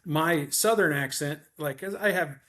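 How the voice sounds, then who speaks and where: A man's voice: a little high, measured pace, fairly bright tone. A man hosting a podcast with a slight Midwestern country accent, asking questions in a hesitant, friendly way with frequent restarts. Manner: hesitant, restarts often, affable. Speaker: podcast host. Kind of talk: interview questions.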